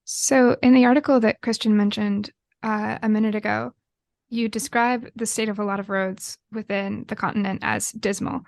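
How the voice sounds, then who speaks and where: A woman's voice: mid-range voice, fairly even intonation, normal speed, vocal fry, darkish tone, no echo. A woman interviewing a guest on a podcast, asking questions in a conversational way.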